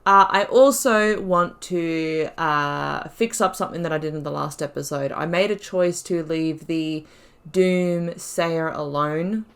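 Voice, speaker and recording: Chatty woman with a lowish voice. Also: a little slow. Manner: chatty, giggly, thinking aloud. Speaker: gaming YouTuber, host of a playthrough. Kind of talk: casual gameplay commentary.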